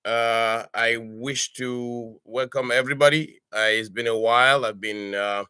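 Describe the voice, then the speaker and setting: Older man from Cameroon, language tutor, bright forceful voice, halting delivery full of uh's, dry close webcam sound. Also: medium pitch, steady tone, smooth, no echo. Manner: halting, many "uh"s and restarts, frequent "okay?" check-ins. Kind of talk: informal online language lesson. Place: home study with bookshelves. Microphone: webcam on a video call.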